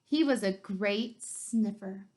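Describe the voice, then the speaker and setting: A woman's voice: mid-range voice, slow, darkish tone. A woman co-hosting a children's read-aloud, narrating a picture book.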